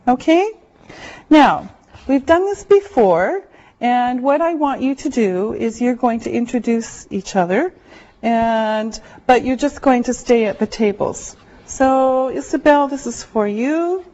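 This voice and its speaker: A woman teaching an English class, giving slow, careful directions to her students in a classroom. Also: on the high side, expressive, warm tone. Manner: slow, deliberate, clearly spoken directions. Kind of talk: classroom instruction. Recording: muffled lo-fi classroom recording.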